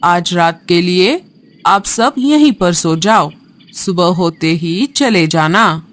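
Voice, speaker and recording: Slow-spoken old mother bird, played by a woman. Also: fairly low pitch, animated. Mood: gentle, sorrowful. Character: old yellow bird, kindly grandmother turned gloating witch. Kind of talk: Hindi cartoon character voice.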